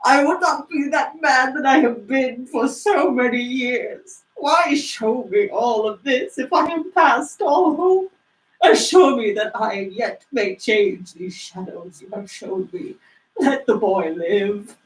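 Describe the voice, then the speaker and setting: A woman's voice: on the high side, slow pace. A woman playing a reformed old-story miser, a man, in an effusive, exclamatory theatrical reading. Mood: anguished, pleading. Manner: exclamatory, effusive, breathless repetition. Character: reformed miser hero, giddy, frantic, overjoyed man. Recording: roomy, distant microphone.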